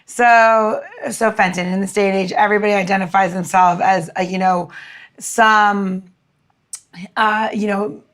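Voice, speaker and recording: A woman's voice: mid-range voice, fairly even intonation, measured pace, fairly bright tone. A woman co-hosting a casual interview, rambling informally and circling around her questions. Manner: rambling, lots of "like" and "you know". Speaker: podcast co-host.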